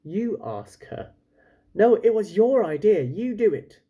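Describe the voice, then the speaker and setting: A man's voice: high-pitched, very animated, a little slow, narrow, tinny audio, pitched above the performer's own voice, full character voice. A man voicing bumbling storybook fishermen who mutter, bicker and pass the blame. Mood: bickering, defensive. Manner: muttering, squabbling.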